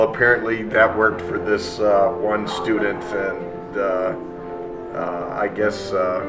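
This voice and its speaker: A male teacher in his thirties reading reviews aloud and reacting casually, recorded in a classroom from a few feet away. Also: lowish voice. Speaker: math teacher. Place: indoor classroom. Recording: distant mic with music behind.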